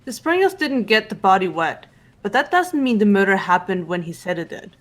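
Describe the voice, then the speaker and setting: A woman's voice: medium pitch, sing-song, normal speed, close to an everyday voice. A woman voicing a cool, analytical teenage girl detective in fan-made game dialogue, composed and assertive. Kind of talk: fan-voiced visual novel game dialogue. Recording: music behind the voice.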